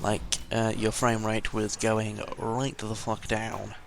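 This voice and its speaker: A man's voice: fairly low pitch, completely flat delivery, takes it slowly, creaky. A seventeen-year-old British young man rambling casually through an audio log, hesitant and tangential with mild swearing, sounding a bit under the weather. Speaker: vlogger. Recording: noisy home microphone recording.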